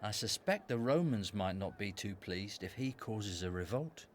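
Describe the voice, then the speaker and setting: Man acting a sardonic, animated temple priest. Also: on the low side, moderate pace, slight background noise, close to an everyday voice. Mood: gossipy, knowing. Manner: knowing, wry. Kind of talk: radio play acting.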